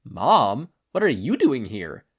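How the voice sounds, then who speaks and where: A man voicing an earnest, excitable teenage boy hero who is easily amazed, in a story reading. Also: medium pitch, takes it slowly, husky, full character voice. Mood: surprised.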